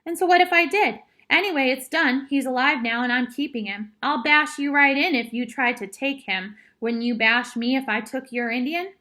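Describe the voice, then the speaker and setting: A woman voicing a stubborn, excitable schoolboy in a storybook, loud, whiny and insistent. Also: very high voice, slow pace, few pauses, voice pushed up, full character voice. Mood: defiant.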